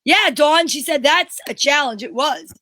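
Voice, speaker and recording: High, bright-voiced joking woman. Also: lively intonation, moderate pace, no echo. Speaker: co-host and performer. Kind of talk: casual chat.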